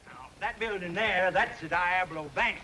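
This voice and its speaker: A man's voice: high voice, normal speed, very noisy, close to an everyday voice. An actor playing a regretful old miner grandfather in a TV Western, with a folksy, hesitant American drawl. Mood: proud, folksy. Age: older. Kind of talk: 1950s TV Western acting.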